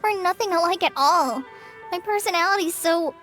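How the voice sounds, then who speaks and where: A woman's voice: extremely high pitch, moderate pace, pushed and bright, soft background music. A woman playing a shy, anxious, self-doubting young woman in a video game, with hesitant, nervous delivery. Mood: sad, confiding.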